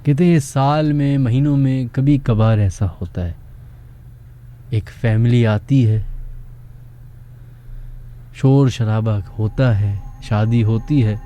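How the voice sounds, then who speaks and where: A man's voice: medium pitch, slow, pauses often, mellow, highs cut off. A man with a Pakistani accent hosting a radio horror show, telling eerie stories in a theatrical yet conversational way over music. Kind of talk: dramatic horror storytelling for radio.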